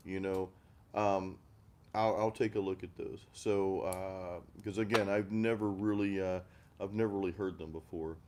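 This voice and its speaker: A middle-aged man from the American Deep South hosting a casual music chat, rambling and self-deprecating with frequent restarts. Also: darkish tone. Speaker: host of a music chat stream. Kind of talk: casual chat over beers, reading live comments.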